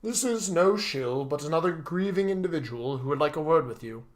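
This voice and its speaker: A man voicing a devoted butler in an audio story, speaking in a formal, courteous and measured way. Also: fairly high pitch, expressive, lightly acted. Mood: firm, courteous. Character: devoted, formal male butler, calm and dutiful.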